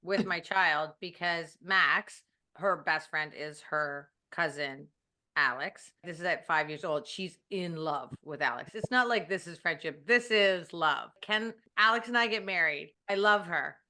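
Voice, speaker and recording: A woman's voice: lowish voice, a little slow, sharp tone. A woman in her thirties co-hosting a casual book club chat, joking and laughing often. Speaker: book club podcast co-host.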